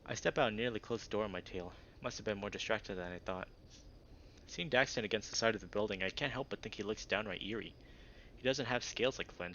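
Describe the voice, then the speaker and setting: A man's voice: fairly low pitch, a bit bright, lo-fi sound, a little hiss or hum. A man reading as a reserved, dryly wry furry protagonist narrating a visual novel in first person.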